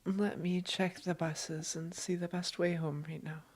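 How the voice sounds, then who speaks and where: A woman playing a doting, gently teasing girlfriend in an intimate roleplay audio, affectionate and reassuring. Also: lowish voice, level tone, a little slow. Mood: easygoing, caring.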